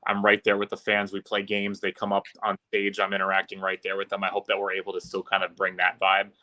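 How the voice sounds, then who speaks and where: A man with a bright, forceful voice that sits fairly low. He chats casually and fluently as an interview guest, keeping his pitch in a fairly narrow band. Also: monotone, fast. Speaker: podcast guest, arena public address announcer.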